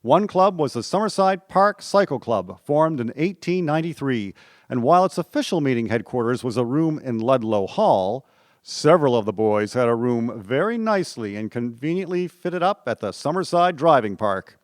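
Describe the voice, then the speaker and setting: A man's voice: medium pitch, sing-song, moderate pace, dry recording, clean studio sound. A man narrating a radio drama from a script, as a historical storyteller. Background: acoustic guitar music.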